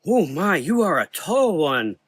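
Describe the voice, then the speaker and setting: Guy doing a kindly curious blind doc, voice pitched up, gothic horror audio play. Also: very animated, unhurried, slightly rough, no echo, exaggerated voice. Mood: curious, amused. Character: frail, nearly blind, kindly doctor.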